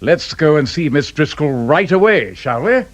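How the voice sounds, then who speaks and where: Wry, theatrical British investigator, higher-pitched. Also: male voice, very animated, normal speed, lo-fi sound. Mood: probing, teasing. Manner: pedantic, theatrical, teasing. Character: wry male investigator, dryly sarcastic, enjoys explaining. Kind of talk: British radio drama acting.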